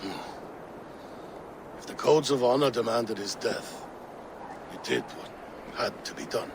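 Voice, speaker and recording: Man acting slow, gravelly, weary Viking king. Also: mid-range voice, natural voice. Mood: grave, subdued. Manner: slow, halting, solemn storytelling delivery. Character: grieving, weary, ailing Viking king, proud and brooding. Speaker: video game character actor. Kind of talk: dramatic game cutscene acting. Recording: game ambience noise behind the voice.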